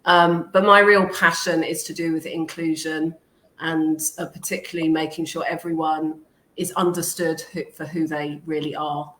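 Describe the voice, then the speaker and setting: A woman's voice: low voice, little pitch movement, moderate pace, fairly bright tone. A woman from England, in her fifties, speaking as an interview guest and teacher, thoughtful and hesitant with many ums. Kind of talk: casual interview talk. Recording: echoey room with faint noise.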